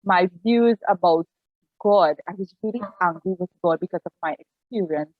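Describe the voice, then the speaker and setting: A woman's voice: lowish voice, normal speed, dry recording. Earnest Trinidadian woman, dull dry call audio. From Trinidad and Tobago. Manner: earnest, reflective, restarts her sentences. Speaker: guest, pastor and family life counselor. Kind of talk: personal story in an online interview.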